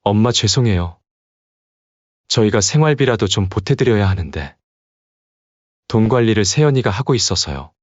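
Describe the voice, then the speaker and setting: A man's voice: on the low side, animated, normal speed, long pauses, no room sound, lo-fi sound. A man playing a meek, apologetic adult son in an audio story, speaking hesitantly. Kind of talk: dramatized audio story dialogue.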